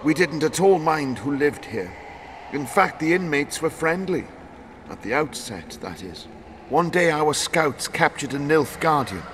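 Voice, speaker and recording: An actor playing a nervous, guilt-ridden former soldier in a fantasy video game, halting and half broken. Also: male voice, a little high, expressive, a bit bright, slight background noise. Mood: haunted confessing.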